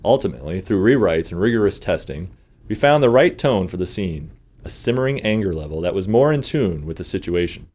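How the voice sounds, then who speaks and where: A man's voice: deep voice, expressive, brisk, a little mellow. A man giving scripted commentary as a game developer.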